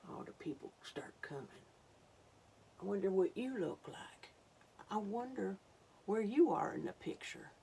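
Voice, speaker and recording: A woman reading a story aloud to young children, dramatic and unhurried, dropping into hushed asides. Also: medium pitch, animated, vocal fry, a little hiss or hum.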